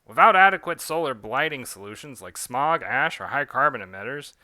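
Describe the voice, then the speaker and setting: A man's voice: medium pitch, lively intonation, brisk, sharp tone, no room sound. A man voicing a pompous male vampire talk-radio host, ranting in a preachy broadcast manner. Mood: indignant.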